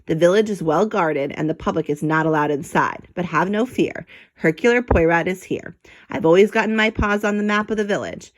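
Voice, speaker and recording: A woman voicing a self-assured, excitable male rat detective from a children's storybook, with a grandiose, commanding manner. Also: fairly low pitch, brisk, close to an everyday voice. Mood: confident, scheming.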